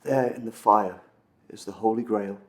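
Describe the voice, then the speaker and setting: A man acting a dramatic monologue as an earnest, wistful storyteller recounting an old legend, with intense pauses and swells. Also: on the low side, steady tone, close to an everyday voice. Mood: earnest, wondering. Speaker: amateur actor performing a film monologue.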